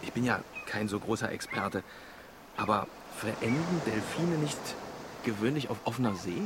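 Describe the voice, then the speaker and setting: A man's voice: lowish voice, animated, normal speed, natural voice. An actor playing a dry, grumbling but good-hearted middle-aged boat skipper and father in a radio drama. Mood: puzzled. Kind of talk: naturalistic radio drama acting. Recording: loud background noise, outdoor ambience.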